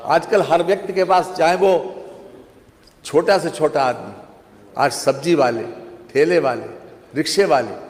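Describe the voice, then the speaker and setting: A man's voice: fairly high pitch, measured pace, long pauses. A man replying as a minister in an assembly debate, emphatic and repetitive, with formal honorifics. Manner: emphatic, repeats phrases and uses formal honorifics. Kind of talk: impromptu parliamentary rebuttal. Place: large legislative chamber. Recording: reverberant assembly hall, faint noise.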